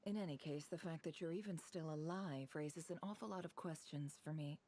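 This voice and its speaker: Woman acting a savvy game info dealer lady, low cool voice, dry and suspicious. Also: fairly quick, noisy background, lightly acted. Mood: probing. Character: shrewd, wary, self-assured woman intelligence broker. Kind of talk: video game dialogue.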